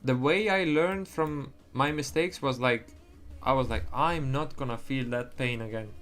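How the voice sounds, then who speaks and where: A man's voice: medium pitch, a little slow, fairly bright tone, faint music behind. A young man whose first language is Estonian, talking casually on a livestream, rambling, swearing and restarting his sentences. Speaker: livestream trader.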